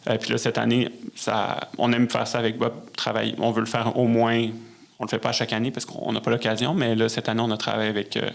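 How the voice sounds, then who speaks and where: A man's voice: medium pitch, monotone, fast, creaky. A man from Montreal with a Quebec French accent, answering in a conversational interview and often searching for words. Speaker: podcast guest, musician and conductor.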